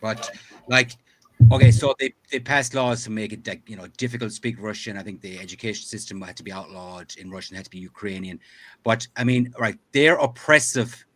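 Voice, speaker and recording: A man's voice: lowish voice, fairly quick, no echo, low-quality recording. A man chatting casually as a co-host, with a blunt, sweary way of talking. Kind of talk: casual chat.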